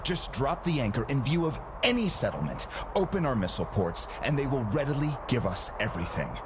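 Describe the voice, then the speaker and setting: A man playing a smooth, self-satisfied arms-dealer boss with grand ambitions, speaking in a theatrical, businesslike way in a game cutscene. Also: medium pitch, very animated, fairly quick, noisy background, natural voice. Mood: grandiose. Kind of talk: video game cutscene acting.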